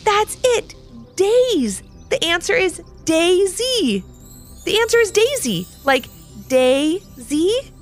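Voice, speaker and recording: A woman's voice: high voice, wildly swooping pitch, moderate pace, a bit bright, soft background music, pitched above the performer's own voice, full character voice. A woman voicing an eager, boastful young boy in a children's story, with exclamatory enthusiasm. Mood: triumphant.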